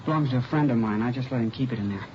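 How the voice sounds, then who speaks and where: A man's voice: medium pitch, racing, lightly acted. Man playing a nervous young radio suspect, muffled recording. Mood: evasive, uneasy. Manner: defensive, faltering, pleading. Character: frightened evasive young man cornered as a suspect. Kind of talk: 1950s radio crime drama acting. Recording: muffled vintage radio recording, clear hiss.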